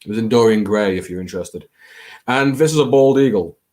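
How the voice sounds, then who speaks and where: A man with a fairly low voice and a Scouse accent chats casually to his viewers. He rambles and hesitates, putting himself down and adding the odd theatrical flourish. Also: a little mellow. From England. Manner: hesitant, halting, self-deprecating, with theatrical flourishes. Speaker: host of a late-night livestream.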